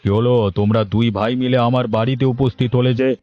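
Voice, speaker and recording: A man's voice: mid-range voice, fairly even intonation, quick pace, no echo, natural voice. A man voicing an aloof village headman in a cartoon, asking questions in a detached way. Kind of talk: Bengali cartoon story dubbing. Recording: dull lo-fi audio, music bed.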